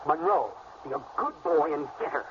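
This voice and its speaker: A man's voice: fairly high pitch, expressive, moderate pace, lightly acted. A man playing an uneasy everyman father on a family vacation in a radio horror play. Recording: noisy, muffled radio play recording.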